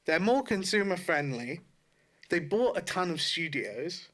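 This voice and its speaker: A man with a fairly high, very bright voice talks in a casual podcast style. He is informal and loose-tongued, often rephrasing himself as he makes his point. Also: big pitch swings, a little slow. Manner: informal, sweary, self-correcting. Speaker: podcast co-host. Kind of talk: casual opinionated gaming podcast chat.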